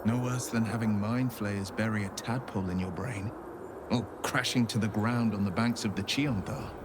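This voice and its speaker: A man's voice: lowish voice, moderate pace, lightly acted. A man playing a loyal, good-natured young monster hunter in a video game, earnest and given to folksy phrases. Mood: reassuring. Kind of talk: video game character acting. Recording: clear background ambience noise.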